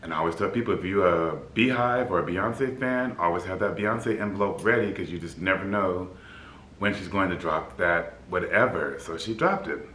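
A man in his fifties with a deep baritone voice, a bit scratchy in the throat, chatting upbeat to the camera in his apartment as a vlog host. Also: normal speed, a bit bright, reverberant. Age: 50s. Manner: upbeat, chatty, laughs easily. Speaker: flight attendant vlogger. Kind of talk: casual vlog chat. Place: apartment room by a city window.

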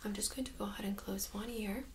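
A young woman speaking softly, mostly in a whisper, gently giving instructions in an ASMR medical role-play. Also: mid-range voice, fairly even intonation, quick pace, a little hiss or hum. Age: young adult. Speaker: ASMR creator playing a medical examiner. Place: small indoor room set up as exam room.

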